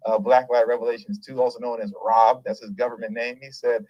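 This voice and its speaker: A man's voice: medium pitch, a little fast, dry recording, muffled recording. A man hosting a political news show, reading an article aloud and stopping often to add his own remarks and asides. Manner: reads a passage, then stops to add his own remarks; animated, interrupts himself with asides and laughs.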